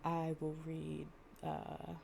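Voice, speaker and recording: A woman's voice: low-pitched, completely flat delivery, extremely slow, vocal fry, a little mellow, a little hiss or hum. A woman performing a storytime audio roleplay, reading fables and chatting informally and playfully. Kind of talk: gentle storytime reading with improvised casual chat.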